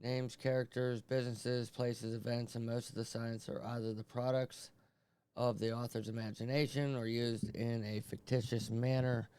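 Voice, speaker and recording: A man's voice: medium pitch, level tone, normal speed, warm tone, clean tone, dry recording. A man doing casual let's-play commentary, reading screen text aloud between informal, sweary asides. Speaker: host playing through a visual novel.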